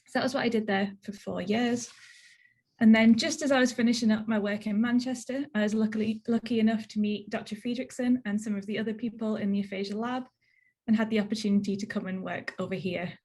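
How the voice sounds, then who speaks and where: A woman's voice: fairly high pitch, fairly even intonation, fast, a little mellow. A young woman from northeast England with a Northeast English accent, giving a research talk over a video call, chatty and plain-spoken. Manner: chatty, plain explanations with frequent "so" and "um".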